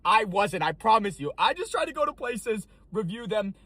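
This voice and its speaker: A young food reviewer from Texas speaks to camera with a high, husky voice. His pitch swings widely as he talks. He sounds defensive and exasperated. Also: sing-song, moderate pace, fairly bright tone, no room sound. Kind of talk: casual vlog to camera.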